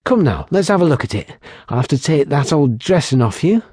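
A man's voice: fairly high pitch, big pitch swings, darkish tone, breathy edge, no room sound, studio quality, voice pushed up. A man voicing a teasing young barmaid healer. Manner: teasing, brisk scolding.

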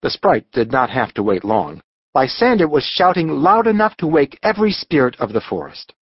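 Animated, clear male storyteller. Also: fairly high pitch, normal speed, no room sound, muffled recording. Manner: dramatic, expressive. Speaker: audiobook narrator. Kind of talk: scripted storybook narration.

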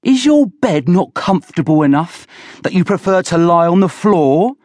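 A man's voice: high voice, very animated, moderate pace, a little mellow, no room sound, pitched above the performer's own voice. A menacing drunken thug leader in an audiobook. He roars and sneers with open contempt, his voice pushed well above the reader's own, in a close, dry recording. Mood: roaring, suspicious. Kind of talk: dramatised audiobook character voice.

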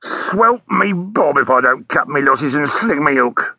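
Man acting a hoarse, irate cockney-slanging cabbie. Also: fairly high pitch, animated, unhurried, sharp tone, no echo, full character voice. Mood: in a passion. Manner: slangy, grumbling, exasperated. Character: irate, foul-mouthed working-class taxi driver, male. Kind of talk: audiobook character voice.

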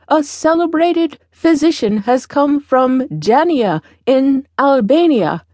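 A woman plays a ragged old beggar woman from a fairy tale, wise and deliberate. The voice is raised in pitch and slow, imploring and instructing as it goes, with a dry, close sound. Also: mellow, no echo.

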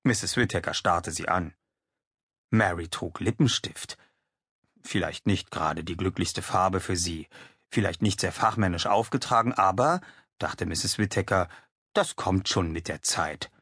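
A man's voice: deep voice, a little slow, a bit bright, slightly rough, no echo, clean studio sound. A man in his forties reading scripted literary narration as an audiobook narrator. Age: 40s.

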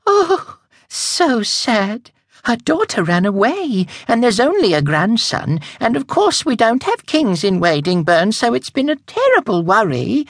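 Man doing a shrill gushing lady witch. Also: sing-song, normal speed, hardly any pauses, no room sound, clean studio sound. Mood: sighing.

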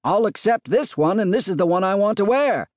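A man's voice: on the high side, animated, unhurried, dry recording, clean studio sound, pitched above the performer's own voice. A man voicing a good-natured husband of nearly fifty, exasperated and overdoing his crossness. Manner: loud, exasperated, shouting.

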